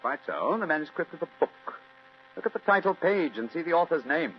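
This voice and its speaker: A man plays an unruffled British gentleman sleuth in a vintage radio mystery. The voice is fairly high and very expressive, with formal, precise phrasing, on a dull recording with background hum. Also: fairly quick, close to an everyday voice. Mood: pleased, assured. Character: suave, calm, analytical English gentleman detective. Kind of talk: 1940s radio drama acting.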